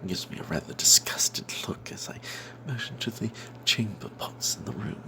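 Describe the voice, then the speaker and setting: A man telling a fantasy tabletop tale as whispered ASMR, in a breathy, halting way. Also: on the low side, expressive.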